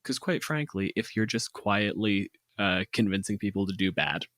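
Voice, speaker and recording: A man with a fairly low voice talks through ideas in a casual planning chat. He is halting as he thinks aloud and swears easily, recorded dry and close. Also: a bit bright, dry recording.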